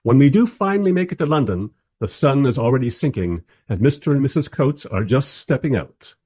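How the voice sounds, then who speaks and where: An actor playing a bemused, wry filmmaker who narrates and interviews in a scripted radio drama. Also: male voice, mid-range voice, animated, fairly quick, darkish tone, breathy edge. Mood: wry, narrating.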